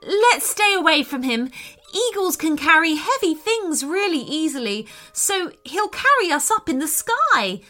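A woman's voice: sing-song, unhurried, full character voice. A woman voicing a sweet, polite young female unicorn in a children's story, earnest and sensitive. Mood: scared. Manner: gentle, high-pitched, earnest.

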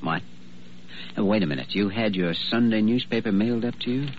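Actor as an earnest low-voiced young radio-drama doctor. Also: male voice, fairly quick, natural voice. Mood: excited deduction. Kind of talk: 1940s radio drama acting. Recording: dull, hissy old radio recording.

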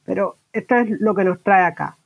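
A woman's voice: mid-range voice, moderate pace, dull audio. A woman hosting an informal bilingual podcast interview, mixing English words into her Spanish. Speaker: podcast host interviewing a guest.

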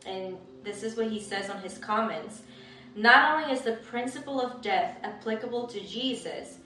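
A woman's voice: medium pitch, fairly bright tone. Lady hosting a church-type interview, earnest, echoey and a bit far from the mic, music there. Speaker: interviewer and host. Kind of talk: livestream testimony interview with scripture reading. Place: indoors in a guest's home.

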